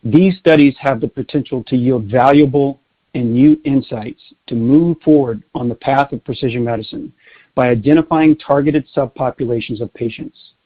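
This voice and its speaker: A man presenting as a company executive, reading prepared corporate remarks in a formal, measured way. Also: mid-range voice. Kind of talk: scripted corporate earnings call presentation. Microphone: telephone. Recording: tinny narrowband conference phone line.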